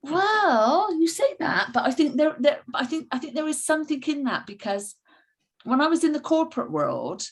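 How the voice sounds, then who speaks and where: A woman's voice: sits high, very animated, normal speed. A woman taking part in a group sales discussion, talking in a thoughtful way with restarts. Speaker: participant in a sales session.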